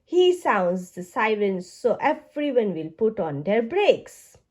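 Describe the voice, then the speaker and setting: A woman reading a storybook aloud to young children in an expressive, deliberate way. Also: a little high, background noise. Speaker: children's book read-aloud narrator.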